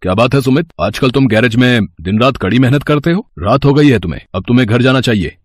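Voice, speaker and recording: A man playing a kindly, encouraging garage owner in a moral-story cartoon, speaking in a measured, approving way. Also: medium pitch, lively intonation, dry recording, natural voice. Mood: concerned.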